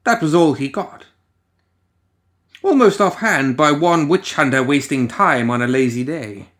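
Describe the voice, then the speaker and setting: A man reading a story as a dramatic narrator, musing theatrically with deliberate pauses and rhetorical asides. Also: on the high side, expressive, fairly bright tone. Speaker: audio fiction reader.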